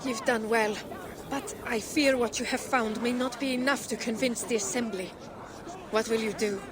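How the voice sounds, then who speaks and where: A woman's voice: fairly high pitch, fairly even intonation, moderate pace, lightly acted. A woman playing a loyal, shrewd Viking wife in a video game, speaking with earnest encouragement. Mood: concerned, resolute. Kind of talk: dramatic video game acting. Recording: busy outdoor crowd and noise behind.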